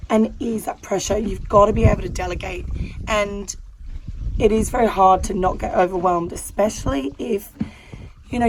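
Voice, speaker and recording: A woman's voice: mid-range voice, noisy background. An Australian woman who has lost most of her voice, talking candidly and from the heart while sitting on the ground out in a horse paddock. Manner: candid and heartfelt, with many fillers. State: hoarse, losing her voice. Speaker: horse rider and vlogger. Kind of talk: casual vlog talk to camera.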